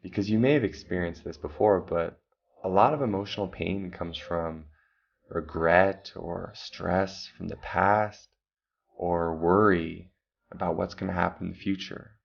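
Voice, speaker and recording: A man calmly guiding a meditation, giving slow breathing instructions with long pauses. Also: sits low, gravelly, breathy edge, highs cut off. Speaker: meditation guide. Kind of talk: guided meditation.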